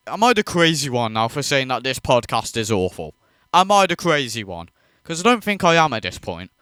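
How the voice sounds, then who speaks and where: A man's voice: medium pitch, fairly bright tone, clear, resonant tone, dry recording, studio quality. A man hosting a reaction video, ranting in disbelief and swearing freely as he mocks what he watches. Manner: incredulous, profane, mocking.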